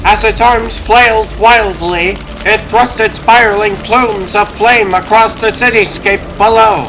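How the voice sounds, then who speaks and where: Guy narrating a superhero comic all dramatic, higher voice, loud music under it. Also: steady tone, unhurried, low-quality recording.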